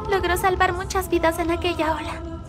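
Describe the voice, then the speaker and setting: A woman with a Latin American Spanish accent playing a gentle, loyal young raccoon-girl companion in an anime fan dub, earnest and pleading. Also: extremely high pitch, flat delivery, normal speed, loud music behind the voice. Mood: tender, resolute.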